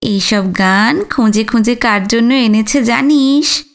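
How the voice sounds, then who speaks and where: A woman's voice: a little high, takes it slowly. A young elder sister played by a woman reader in an audiobook story. She is playful and teasing, flusters easily, and speaks without hurry. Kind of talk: audiobook character dialogue.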